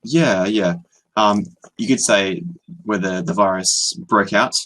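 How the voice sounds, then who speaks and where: A young Australian man on a video call, tutoring in a relaxed, hesitant way with many ums and restarts. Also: fairly low pitch, slow, poor audio. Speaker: online English tutor. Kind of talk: casual video-call conversation.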